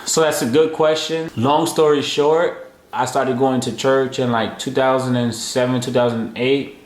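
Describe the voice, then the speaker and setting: A man's voice: medium pitch, flat delivery, normal speed. Slangy laid-back man in a roomy home. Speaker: co-host of family channel. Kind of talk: casual couple Q&A. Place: home room.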